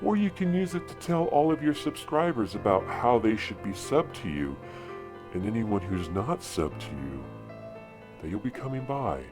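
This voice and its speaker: A man's voice: on the low side, sing-song, normal speed, music in the background, voice pushed down. A man voicing a creepy, predatory man through a voice changer. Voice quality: processed. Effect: headset voice changer.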